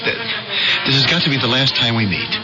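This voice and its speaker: A man's voice: medium pitch, a little fast, natural voice. An actor playing an earnest, flustered young photographer in a radio drama, stammering and hesitant as he talks. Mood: pleading, resigned. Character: earnest young newspaper photographer, loyal friend, flustered suitor. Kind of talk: 1970s radio drama acting. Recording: dull old radio broadcast, music behind.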